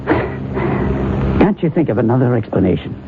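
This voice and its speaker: An actor playing a calm, authoritative male psychiatrist who asks measured clinical questions in a vintage radio drama. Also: medium pitch. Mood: insistent. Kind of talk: 1970s radio drama acting. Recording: noisy, phone-like vintage radio broadcast recording.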